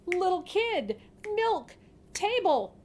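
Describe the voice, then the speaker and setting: A woman performs a kindly wise woman from a storybook. She speaks in a high, lilting singsong, deliberate and instructive, as if teaching a spell. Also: sing-song, a little mellow, heavily acted. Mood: instructive, magical.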